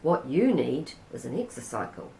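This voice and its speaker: A man's voice: mid-range voice, very animated, moderate pace, vocal fry, mellow, reverberant, slight background noise, poor audio. A woman reading as a practical grown son. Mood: helpful. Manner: matter-of-fact, advising.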